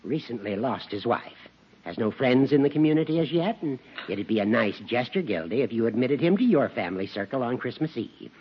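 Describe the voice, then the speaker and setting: A man acting a genial old judge with a raspy voice in a 1940s radio comedy, courtly and teasing. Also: medium pitch, animated, a little fast, a little hiss or hum, lightly acted. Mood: courtly persuading. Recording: dull 1940s radio recording.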